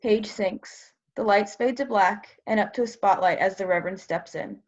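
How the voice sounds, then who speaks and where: A woman's voice: medium pitch, normal speed, lo-fi sound. A woman reading stage directions as scripted narration for a play readthrough.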